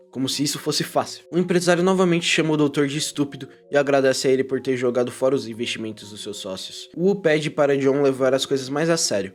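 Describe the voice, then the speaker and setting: A man's voice: medium pitch, a little mellow. A man with a Brazilian accent narrating a scripted video essay in an informal, irreverent way. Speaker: YouTuber narrator and commentator. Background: prominent background music.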